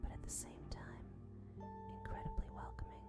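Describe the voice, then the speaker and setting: Female meditation guide: breathy ASMR whisper, gentle and soothing, unhurried scripted delivery over prominent music. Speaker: guided sleep meditation narrator.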